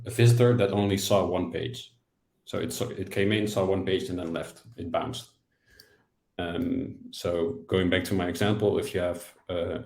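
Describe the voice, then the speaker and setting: A man in his thirties giving halting, unscripted explanations as an expert on a webinar video call. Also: low-pitched, darkish tone. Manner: halting, hesitant phrasing. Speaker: analytics expert answering questions. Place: small plain room, indoors. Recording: echoey room on a video call.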